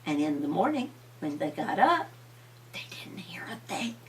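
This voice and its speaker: A woman's voice: lowish voice, very animated, moderate pace, distant mic. An older woman, a grandmother, telling bedtime stories in a homely, dramatic way. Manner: homely, dramatic, repeats refrains with emphasis. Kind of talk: animated storytelling for children.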